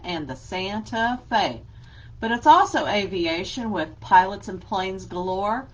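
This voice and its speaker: Slow-spoken woman librarian reading to kids. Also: mid-range voice, poor audio. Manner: gentle, explains slowly to children. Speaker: children's librarian. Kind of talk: children's story time reading aloud.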